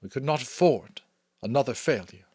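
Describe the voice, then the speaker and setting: A man voicing a solemn, commanding female elder in a firm, deliberate, declamatory way for an audiobook. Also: medium pitch, animated, darkish tone, breathy edge, dry recording, studio quality, pitched above the performer's own voice. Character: solemn commanding female chief elder addressing her community. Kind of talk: dramatic audiobook character voice.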